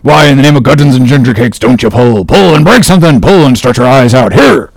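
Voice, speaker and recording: A man's voice: mid-range voice, expressive, fairly quick, creaky, no room sound. A man voicing a jocular whaling mate who coaxes and scolds his oarsmen in a drawling way, mixing fun and fury. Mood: mock fury.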